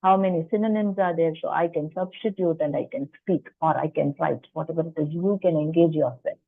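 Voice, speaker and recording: A woman's voice: deep voice, a little fast, no room sound. Woman, lecturer, chatty explanations, personal asides, tinny phone-like sound. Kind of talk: presenting to a faculty workshop. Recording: dry tinny phone-like line.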